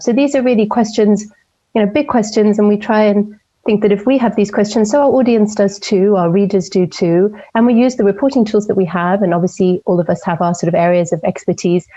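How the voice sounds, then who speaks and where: A woman from Portugal in her forties, an opinion columnist answering interview questions in a measured, thoughtful way with frequent ums. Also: medium pitch, dark tone, dull audio. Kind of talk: interview over a call.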